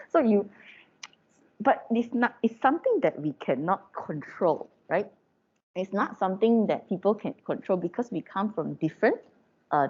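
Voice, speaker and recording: A woman with a Malaysian English accent lectures her students in a chatty, informal way, dropping tag questions as she goes. Her voice sits a little low and sounds mellow. The recording is dull and lo-fi. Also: husky.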